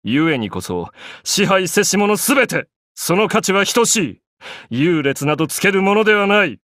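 An actor playing an arrogant, grandiose young pharaoh in an anime game, declaiming in an imperious, pompous way. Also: male voice, a little high, a bit bright, dry recording, clean studio sound.

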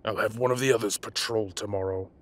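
Man acting a gruff, forceful dragon mafia boss. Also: fairly low pitch, steady tone, quick pace, close to an everyday voice. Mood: concerned, firm. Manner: barking, bossy, scolding. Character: gruff, commanding half-dragon mafia boss, impatient but protective. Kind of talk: audio roleplay acting.

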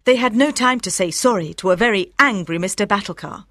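A woman's voice: mid-range voice, brisk, fairly bright tone, dry recording, clean studio sound. A woman narrating an audiobook in a scripted storytelling style made easy for language learners.

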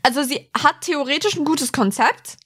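A woman's voice: high voice, normal speed. A woman co-hosting a podcast, chatting informally and bluntly. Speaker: podcast co-host. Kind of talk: casual chat.

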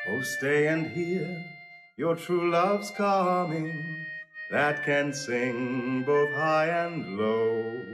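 Wistful jester singing very slowly and tenderly over an instrument, fairly high lyrical voice, Shakespearean drama. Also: male voice. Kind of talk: sung Shakespearean love song in audio drama. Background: musical instrument.

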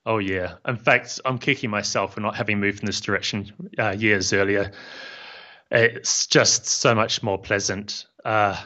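A man in his fifties with a New Zealand accent, a software founder calmly explaining his business in an interview. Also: fairly low pitch. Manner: measured and friendly, explains plainly. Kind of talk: casual interview chat. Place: home office room. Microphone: studio mic on boom arm, headphones. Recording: slightly dull lo-fi audio.

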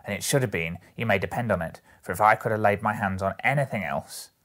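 A man voicing a brazen, coarse, unashamed charwoman in a Victorian storybook, loud, scornful and emphatic. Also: on the low side, quick pace, natural voice.

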